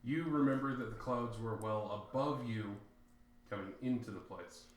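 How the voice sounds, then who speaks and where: A man narrating scenes as game master of a tabletop game, describing them in a measured way with filler pauses. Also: fairly low pitch. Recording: very echoey room, faint noise.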